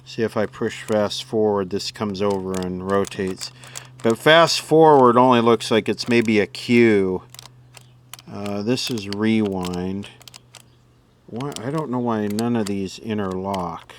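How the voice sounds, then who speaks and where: A man thinking aloud in a dry, grumbling, low-key way as he does hands-on repair commentary. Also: slow. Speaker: host, electronics repairer. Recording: clear background noise and hiss.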